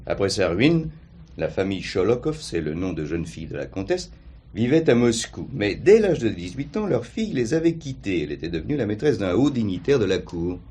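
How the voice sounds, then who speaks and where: An actor playing a formal, precise notary with a husky voice, recounting facts in a measured way in a crime drama. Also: male voice, on the low side, big pitch swings, a little mellow, a little hiss or hum. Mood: formal, explanatory. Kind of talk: French crime drama acting.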